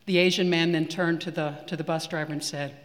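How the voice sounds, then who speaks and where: A man preaching a sermon in a church, measured and earnest with deliberate pauses. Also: a little high, level tone, a bit bright. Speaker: priest. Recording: long reverb of a church hall.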